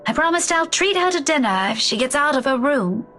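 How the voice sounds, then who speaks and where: An actress in a story game playing a weary, distraught woman who speaks haltingly, with sighs. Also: high voice, lively intonation, sharp tone. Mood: guilty, upset. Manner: halting, sighing, faltering speech. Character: weary, distraught woman keeping hospital vigil over loved one. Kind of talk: dramatic game dialogue.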